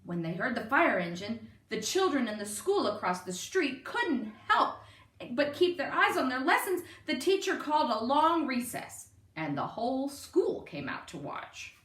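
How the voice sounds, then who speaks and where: Expressive, animated woman storyteller, roomy sound. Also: high-pitched, measured pace. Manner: expressive, emphatic read-aloud delivery. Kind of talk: children's picture-book narration. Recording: roomy, distant microphone.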